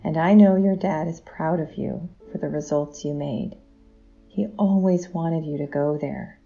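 A woman's voice: deep voice, steady tone, a little slow, gravelly, dark tone, lo-fi sound, soft background music. A woman playing a caring but troubled middle-aged wife and mother in an audio drama. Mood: gently reassuring.

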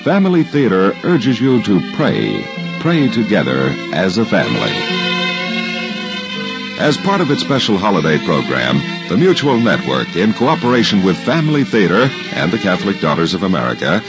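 A man's voice: deep voice, wildly swooping pitch, moderate pace. A man announcing formally and emphatically in a scripted 1950s radio style. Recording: muffled old radio recording, music behind.

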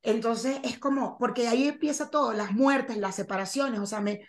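A Venezuelan woman in her forties, a guest telling her story in a casual interview over a video call, lively and acting out quoted lines. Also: on the high side, moderate pace. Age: 40s.

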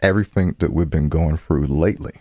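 A man's voice: rumbling low, a little fast, darkish tone, no echo, clean studio sound. A man co-hosting a podcast in casual, slangy chat, repeating phrases for emphasis. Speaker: podcast co-host.

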